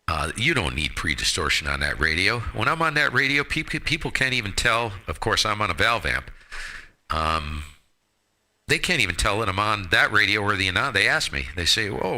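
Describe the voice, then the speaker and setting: Man, very low pitch, sharp bright edge, blunt, opinionated, casual hobbyist chat, headset mic. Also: very animated, moderate pace. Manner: opinionated, blunt, mild folksy exclamations. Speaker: ham radio operator hosting a live stream.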